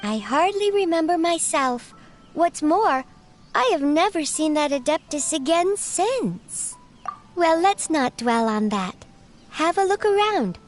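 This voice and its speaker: A woman's voice: extremely high pitch, very animated, slow, soft background music, heavily acted. A woman voicing a refined, courteous teapot spirit butler in a video game, with formal, genteel phrasing. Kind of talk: scripted video game character dialogue.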